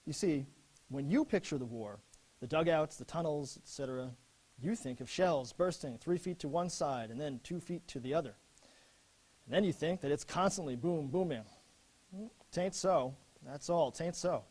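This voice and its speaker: An actor playing an earnest young American lieutenant in a dramatic, expressive reading of his letters home from war. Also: on the high side, moderate pace. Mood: matter-of-fact, reassuring.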